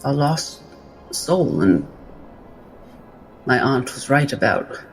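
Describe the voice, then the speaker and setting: A man playing a composed, formal female doctor in a tabletop game, hesitant, halting and softly stammering. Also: mid-range voice, very animated, faint music behind, low-quality recording. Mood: awed, hesitant.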